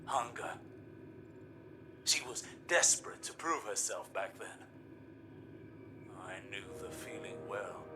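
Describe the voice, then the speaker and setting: An actor playing a proud, regretful old mentor in a video game, reflective and deliberate. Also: male voice, fairly low pitch, lively intonation, music in the background. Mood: wistful. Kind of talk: dramatic video game acting.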